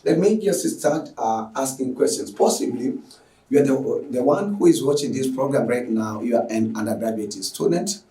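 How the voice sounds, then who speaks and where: A man's voice: medium pitch, lively intonation, normal speed, dark tone, roomy sound, distant mic, low-quality recording. A man from Tanzania giving an effusive, filler-heavy welcome as an online lecturer. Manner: effusive, repetitive, with frequent fillers. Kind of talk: lecture introduction.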